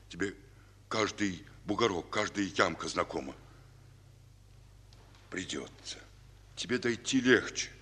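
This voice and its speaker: Slow, low-voiced weary Soviet general. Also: male voice, bright, forceful tone, breathy edge, exaggerated voice. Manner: slow, pensive, halting, fatherly. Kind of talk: 1940s Russian stage war drama. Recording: old reverberant radio recording with music.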